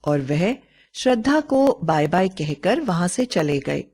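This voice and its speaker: A woman reading a scripted bedtime moral story in a measured, expressive storytelling way. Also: on the low side, husky.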